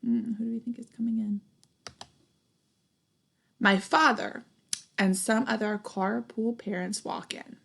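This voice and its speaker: A young adult woman reading a children's picture book aloud expressively, pausing to put questions and comments to young listeners. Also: fairly high pitch, fairly even intonation, vocal fry, fairly bright tone. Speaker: storybook reader, teacher.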